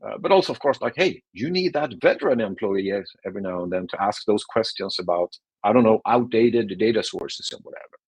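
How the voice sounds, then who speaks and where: A man's voice: fairly low pitch, expressive, brisk, husky, dry recording, rough recording. A Swedish man, a non-native English speaker, giving a casual, chatty online presentation with frequent restarts. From Sweden.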